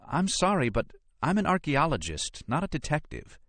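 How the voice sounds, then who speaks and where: A man's voice: on the high side, huge pitch swings, normal speed, fairly bright tone, dry recording, voice pushed up, heavily acted. A man voicing an indignant, no-nonsense woman archaeologist in an audiobook, emphatic and easily irritated. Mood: irritated, resistant. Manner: protesting, emphatic. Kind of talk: audiobook character dialogue.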